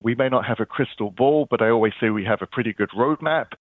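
A man's voice: medium pitch, quick pace, no room sound. London man on a tinny phone line. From England. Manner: chatty, earnest storytelling. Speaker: guest. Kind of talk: phone interview.

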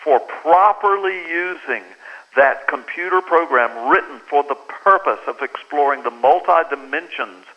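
Guest author, American man, slightly high voice, lively storyteller on a dull phone line. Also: unhurried. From United States. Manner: animated storyteller who acts out quoted dialogue. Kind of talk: talk radio interview, storytelling.

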